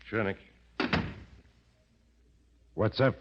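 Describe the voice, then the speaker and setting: An actor in 1940s radio style playing a slow-witted, deferential gangster henchman with hesitant, plain tough talk. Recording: muffled old radio drama recording.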